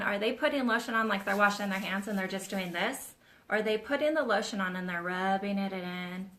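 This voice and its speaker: A woman's voice: medium pitch, sharp tone. A woman hosting a casual live Q&A, teaching nail technicians in a chatty, hands-on way. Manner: chatty, demonstrates while talking, addresses viewers as "you guys".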